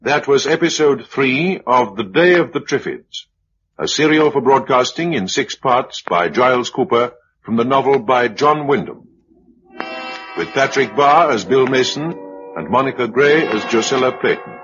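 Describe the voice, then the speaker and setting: Male announcer, formal scripted credits, vintage broadcast, muffled sound, clear music behind. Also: medium pitch, expressive, moderate pace, fairly bright tone. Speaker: radio continuity announcer. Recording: dull old broadcast with music.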